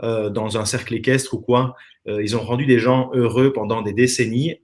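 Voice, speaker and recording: A man's voice: mid-range voice, fairly even intonation, moderate pace. A man from Belgium with a Belgian French accent, telling his story as a guest in a casual online interview over a video call, earnest and reflective. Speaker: interview guest, former professional dancer.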